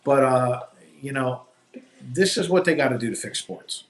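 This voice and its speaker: A man from New Jersey hosts a live stream and rambles through opinionated sports talk in a mid-pitched voice. The sound is roomy, with echo hanging around every phrase. He talks loosely and casually, piling one point onto the next. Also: animated, normal speed. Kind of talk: casual chat. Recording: echoey room.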